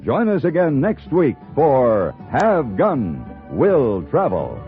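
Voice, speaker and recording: A man's voice: mid-range voice, wildly swooping pitch, slow. An American man announcing from a script in a polished, emphatic 1950s radio style. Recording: tinny old radio broadcast with music behind.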